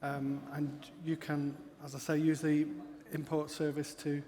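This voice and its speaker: A man's voice: a little high, monotone, unhurried, mellow. A man on a conference panel giving matter-of-fact technical answers. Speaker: panel speaker. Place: large hall, conference stage. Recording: hall reverb, faint ambience.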